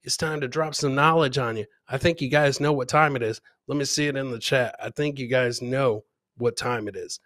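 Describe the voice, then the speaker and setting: A man's voice: medium pitch, fairly even intonation, moderate pace, a bit bright, no room sound. A middle-aged man hosting a comedy podcast, chatting casually with live viewers into a close studio mic. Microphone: close studio mic on a boom.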